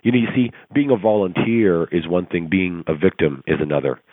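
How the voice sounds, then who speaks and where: A hoarse man recovering from laryngitis, giving an earnest, rousing motivational coaching talk with rhetorical questions over a conference call. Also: low voice, animated, fairly quick, no room sound. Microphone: telephone conference call. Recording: tinny phone line, dry.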